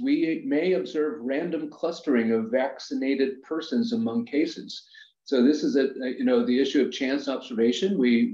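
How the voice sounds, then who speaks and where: A man on a video call giving a measured public health briefing, pausing often with fillers. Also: mid-range voice, warm tone. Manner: measured, hesitant with many fillers. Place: office. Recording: roomy, dull video-call audio.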